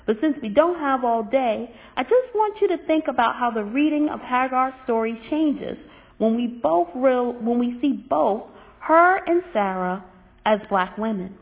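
A woman giving a teaching sermon in an earnest, measured way. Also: on the high side, lively intonation. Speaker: religious teacher. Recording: tinny phone-like audio.

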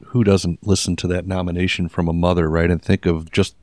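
A man's voice: deep voice, little pitch movement, brisk, darkish tone, dry recording. A man co-hosting a podcast, chatting with guests in an enthusiastic, praising way. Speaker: podcast co-host. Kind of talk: casual interview chat.

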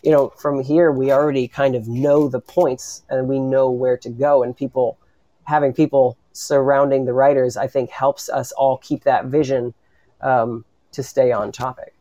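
A man speaking as a guest writer on a discussion panel, earnest and halting, often repeating his words. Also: medium pitch, little pitch movement, warm tone, no room sound, lo-fi sound.

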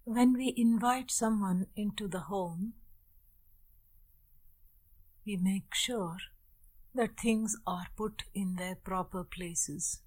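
A woman with a medium-pitched voice guides a meditation. She gives deliberate instructions in short, measured phrases, leaving long pauses between them, as a teacher would. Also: darkish tone, breathy edge. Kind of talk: guided meditation with opening Sanskrit chant.

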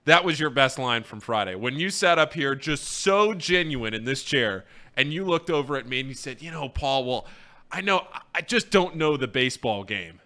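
A man's voice: mid-range voice, animated, normal speed, sharp tone. A man hosting a radio show in a casual, bantering sports-talk style.

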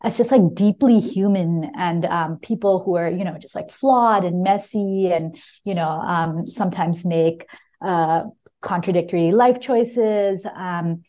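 Mellow, low-voiced woman, thoughtful author. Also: a little slow. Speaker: debut memoir author, guest. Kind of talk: casual book talk and reading from own memoir.

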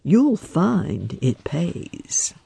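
A wise old frog, voiced by a storybook reader, mild and unhurried, drawing the listener along with calm questions. The voice sits somewhat above the reader's own and moves slowly. Gravelly and close, with a dry sound. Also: medium pitch, dry recording, pitched above the performer's own voice, heavily acted.

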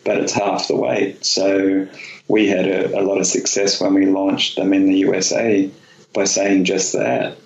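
A man's voice: deep voice, monotone, a little slow. A man speaking casually as an interview guest, explaining things matter-of-factly, in a dull, roomy recording. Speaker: company founder being interviewed as a guest.

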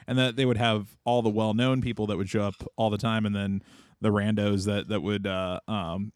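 Midwestern man, podcast host, low voice, rambling casual chat, dry close sound. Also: little pitch movement, normal speed, clear, resonant tone, no room sound. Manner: chatty, rambling with fillers, easy laughter.

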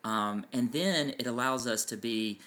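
A man with a loud voice co-hosting a casual parenting podcast, explaining his points with enthusiasm. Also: medium pitch, a little slow. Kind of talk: casual chat. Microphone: farther from mic than co-host.

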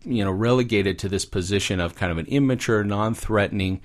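A man's voice: lowish voice, fairly quick. A man speaking as a guest expert, explaining fairy tales in a conversational way with little acted asides. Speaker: guest expert, psychology professor.

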